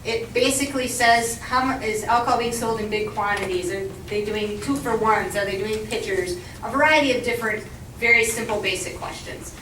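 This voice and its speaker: A woman's voice: on the high side, steady tone, moderate pace, fairly bright tone. Woman coordinator, casual announcements, heard from across an echoey, noisy room. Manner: friendly, informal, with frequent ums. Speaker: meeting coordinator. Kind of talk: announcements at a community meeting. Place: meeting room. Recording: roomy, distant, noisy room recording.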